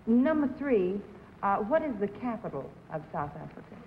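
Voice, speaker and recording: A woman on a 1950s game show panel asking questions in a hesitant, halting way, in thin old broadcast audio. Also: medium pitch, very animated, big-room sound, narrow, tinny audio, a little hiss or hum. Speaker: celebrity panelist.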